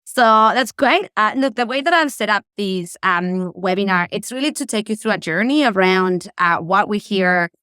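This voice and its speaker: A woman's voice: medium pitch, lively intonation, moderate pace, hardly any pauses, bright, forceful tone, clear, resonant tone, no echo, studio quality. Chilean-accented woman giving a webinar talk. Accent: Chilean Spanish. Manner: enthusiastic, many fillers. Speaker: tourism sustainability presenter. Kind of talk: webinar presentation. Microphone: video call.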